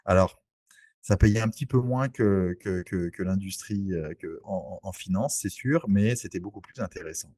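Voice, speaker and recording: A man's voice: sits low, moderate pace, dark tone, no room sound, studio quality. A man talks informally in an interview, explaining things in a conversational way. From French Antilles, Saint-Barthélemy. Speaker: podcast guest, real estate investor.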